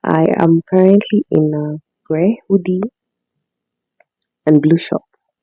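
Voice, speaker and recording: Raspy just-woken Kenyan woman. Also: lowish voice, steady tone, slow, dry recording, narrow, tinny audio. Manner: soft-spoken, rambling, laughs often. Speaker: podcast host. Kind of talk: casual diary-style monologue.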